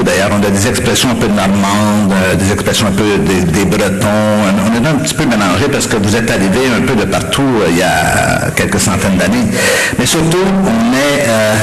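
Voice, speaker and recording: A man's voice: on the low side, rough recording. A middle-aged man with a Québécois accent, a visiting pastor preaching a sermon in a conversational way, joking with the congregation and often hesitating. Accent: Québécois French. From Quebec. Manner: conversational, jokes with the audience, frequent hesitations. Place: church hall before a congregation.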